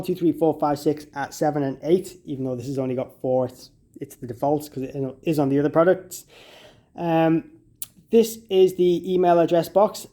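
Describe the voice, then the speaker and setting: A man's voice: a little high, mellow. A man giving a casual, step-by-step product walkthrough as a demonstrator, in an informal, conversational way.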